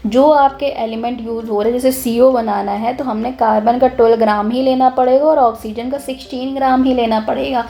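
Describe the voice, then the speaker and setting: A young woman, slightly hoarse, explaining a chemistry lesson in a casual, lively way, often restarting her sentences. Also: fairly high pitch, fairly quick, few pauses. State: hoarse, clears her throat. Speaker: chemistry teacher.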